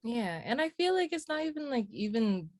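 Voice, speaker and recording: A woman's voice: a little high, very animated, moderate pace, no echo. Young woman interviewer on a dry video call. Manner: chatty, friendly questions with "like" fillers. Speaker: interviewer, host. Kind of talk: casual interview conversation. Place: home room, indoors.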